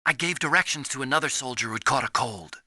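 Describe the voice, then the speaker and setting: Casting: timid, kindly young scientist in a video game. Sharp, lively, dry close recording, earnest delivery. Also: male voice, medium pitch, quick pace, no echo. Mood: helpful. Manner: earnest, anxious, explanatory. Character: earnest, timid young male scientist, soft-hearted and nerdy.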